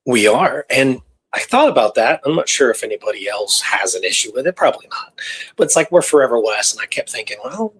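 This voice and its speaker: A man's voice: medium pitch, sing-song, fairly quick, a bit bright, slightly rough, low-quality recording. A man co-hosting a show, talking casually and somewhat hesitantly as he searches for his words. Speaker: podcast co-host. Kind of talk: casual conversational storytelling.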